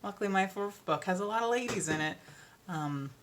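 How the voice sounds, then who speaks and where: A young woman with a deeper voice, chatting casually and self-deprecatingly about narrating audiobooks, full of ums and little laughs. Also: expressive, brisk, slight background noise. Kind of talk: casual chat.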